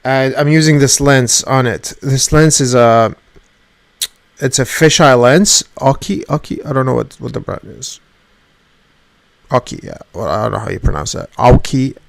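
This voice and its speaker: A man talks to his webcam from his desk in a loose, rambling rant. English is not his first language, and he swears freely, stumbles and restarts his phrases again and again. His pitch sits in the middle for a man. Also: moderate pace, gravelly. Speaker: vlogger.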